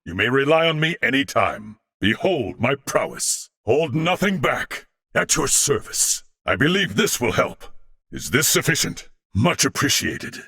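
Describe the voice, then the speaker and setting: A gallant, disciplined warrior man from a video game. His voice is forceful and gravelly, swinging widely in pitch. He phrases everything in a formal, old-fashioned, theatrical way, proud and resolute in temper. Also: big pitch swings, unhurried, exaggerated voice. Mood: dutiful, resolute. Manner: formal, archaic, crisply declaimed phrasing.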